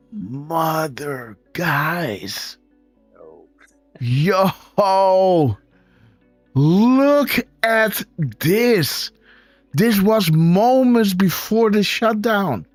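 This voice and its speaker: Dutch-accented male stream host, unhurried but excitable, voice leaping up and down, dry close sound. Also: high voice, no echo, soft background music. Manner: excitable, exclaiming, talks to viewers as guys. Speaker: live show host. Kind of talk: casual live-stream commentary on images.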